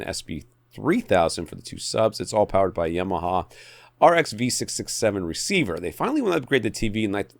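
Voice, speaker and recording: Deep-voiced, animated male podcast co-host. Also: vocal fry, dry recording. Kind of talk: casual chat, reading listener questions.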